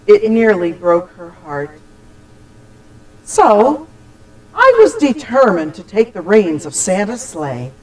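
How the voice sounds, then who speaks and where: A woman telling a scripted personal holiday story, with deliberate, expressive, dramatic phrasing. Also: mid-range voice, low-quality recording. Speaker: church storyteller.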